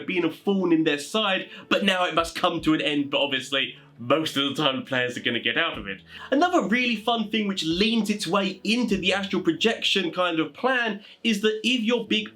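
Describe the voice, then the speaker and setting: A man hosting a casual explainer for tabletop game masters, talking in an animated, rambling, informal way. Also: on the high side. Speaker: video host giving tabletop game master advice.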